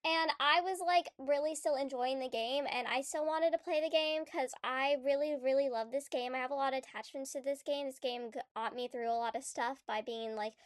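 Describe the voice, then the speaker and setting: Young woman vlogger, high voice, stuffy with a cold, chatty with lots of fillers; dry close mic. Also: fairly even intonation, moderate pace, few pauses, dry recording. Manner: chatty and rambling, many fillers and restarts. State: congested from a cold. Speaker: gaming YouTuber. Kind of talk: casual vlog commentary.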